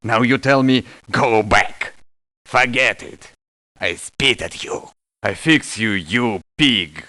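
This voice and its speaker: A man's voice: medium pitch, takes it slowly, pushed and bright, dry recording, studio quality. A man voicing a hostile, greedy video game mercenary who speaks blunt, broken English. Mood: contemptuous, angry. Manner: broken English, blunt short phrases, contemptuous. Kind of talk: video game character voice lines.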